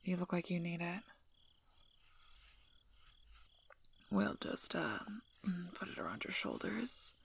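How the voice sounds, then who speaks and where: Woman acting young female werewolf alpha, ASMR roleplay, guarded yet caring, gravelly creak, lowish pitch, halting, near-whispered. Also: sing-song, heavily acted. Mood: hushed, protective. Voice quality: husky, breathy, often near whisper. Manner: hesitant, halting, understated. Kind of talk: soft-spoken ASMR roleplay.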